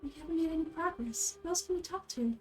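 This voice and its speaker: A woman's voice: very high-pitched, quick pace, darkish tone, soft background music, pitched above the performer's own voice. A woman voicing a small, childlike, chirpy fairy companion in a video game. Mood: doubtful. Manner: chirpy, third-person speech.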